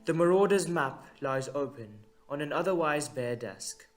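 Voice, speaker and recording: A man narrating a scripted audio drama in a measured, dramatic way with deliberate pauses. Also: fairly high pitch, expressive, soft background music.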